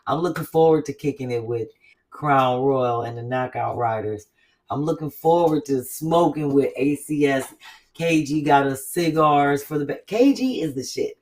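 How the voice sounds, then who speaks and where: A woman hosting a casual chat, talking in an animated, blunt, profane way with quick asides. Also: rumbling low, distant mic. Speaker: host.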